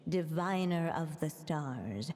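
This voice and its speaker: Storybook narrator witch: slow, slightly low, composed, theatrical, whimsical game storytelling. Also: animated, darkish tone. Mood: narrating.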